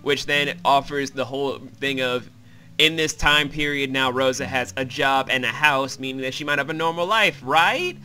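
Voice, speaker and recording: A man's voice: mid-range voice, normal speed, bright, forceful tone. A man hosting a joking, crude and irreverent recap of a video game. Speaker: host, self-described comedic voice actor. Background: game music.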